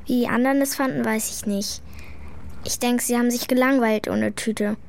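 Schoolgirl, children's radio drama: high voice, hesitant and defensive, candid, with clear ambient noise behind. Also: steady tone, normal speed, a little mellow. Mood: subdued, confessing. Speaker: actor in children's radio play. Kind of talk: children's radio play acting.

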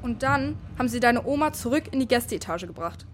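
Woman acting an earnest, high-voiced girl. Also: animated, brisk, natural voice. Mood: confiding. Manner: earnest, insistent. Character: earnest, eager schoolgirl turned double agent. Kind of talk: children's radio play acting.

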